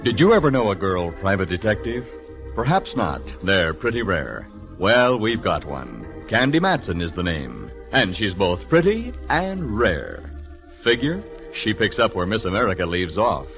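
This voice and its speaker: A man's voice: lowish voice, big pitch swings, unhurried. An actor as an admiring male announcer, giving a playful, showy introduction in 1940s radio style. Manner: playful, rhetorical showmanship. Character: smooth-talking, admiring male announcer introducing a detective heroine. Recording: vintage 1940s radio, music behind.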